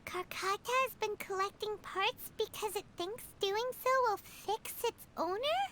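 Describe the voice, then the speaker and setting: Very high, childlike game companion. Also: female voice, measured pace, fairly bright tone, a little hiss or hum. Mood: wondering, sad. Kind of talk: video game voice acting.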